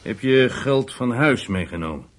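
A man playing a stern police officer in a naturalistic radio drama, husky, with a dry, clipped, insistent way of questioning. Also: mid-range voice, lively intonation, normal speed, natural voice. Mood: stern, pressing.

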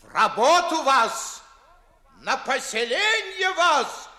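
A blustering older master of the house, pompous and scolding. His very high, sharp voice stretches out exclamations in 1950s theatrical verse style, with some room echo. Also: sing-song, slow, full character voice. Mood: furious, scolding. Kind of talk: 1950s theatrical radio comedy acting in verse.

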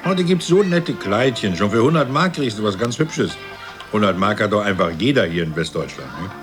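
A pompous middle-aged man played by an actor in a radio drama, smug and contemptuous. His lowish voice swings widely in pitch with condescension, over clear music. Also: expressive, normal speed, lightly acted. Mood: smug, mocking. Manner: condescending, self-satisfied.

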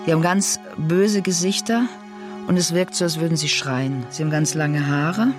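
Casting: woman, unscripted and colloquial, feeling her way through a description, clear music underneath. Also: low-pitched, fairly even intonation, normal speed. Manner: hesitant, tentative, colloquial. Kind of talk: spontaneous, halting description of a picture.

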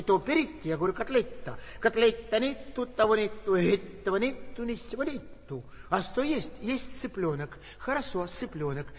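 An actor playing a hungry man from Karelia who speaks broken Russian with a put-on Finnish accent and repeats himself insistently, on a stage recording. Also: high voice. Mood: baffled, insistent. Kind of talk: stage comedy sketch. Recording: stage recording in a reverberant hall.

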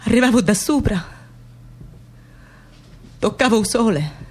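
Anguished Sicilian woman, high-voiced. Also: expressive, a little slow. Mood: anguished, dreamy. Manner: incantatory, repeating phrases with intensity. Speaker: stage actress in a radio theatre piece. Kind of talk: dramatic theatrical monologue with incantatory repetition.

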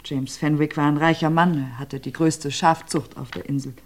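An actress plays a worn-down widow in a radio crime play, answering an interrogator in hesitant, halting replies. Her voice sits very low for a woman and often sinks to a hushed near whisper. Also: fairly even intonation, a little hiss or hum, close to an everyday voice. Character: abused widow questioned about her husband's death. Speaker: widow under questioning.

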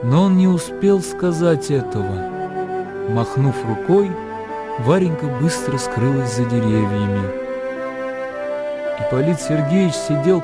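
A man giving a theatrical, expressive narration of a literary radio play. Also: medium pitch. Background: music.

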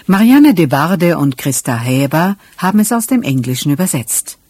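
Woman, radio announcer, very low voice, lively intonation, formal credit reading, dry close sound. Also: moderate pace, slightly rough, no room sound. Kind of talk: scripted closing credits.

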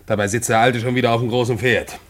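A man's voice: mid-range voice, steady tone, fast, natural voice. A man playing a gossipy, snooping husband in a German radio play, colloquial and insinuating. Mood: gloating, conspiratorial. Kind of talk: 1950s-set German radio play acting.

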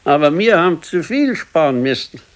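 An actor playing an elderly working-class husband with a Bavarian accent in a naturalistic radio play, curt, dry and grumbling. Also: male voice, fairly high pitch, big pitch swings, normal speed. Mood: grumbling, defensive.

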